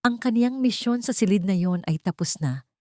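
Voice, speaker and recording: A woman's voice: medium pitch, expressive, moderate pace, a little mellow, dry recording, clean studio sound. A woman narrator reading a dramatic scripted story.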